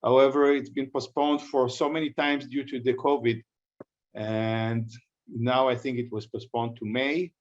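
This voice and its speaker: A man with an Israeli accent presenting a lecture over a video call, speaking haltingly and restarting his sentences. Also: medium pitch, level tone, dull audio. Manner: halting, with restarts and self-corrections. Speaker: guest presenter. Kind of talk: online lecture introduction.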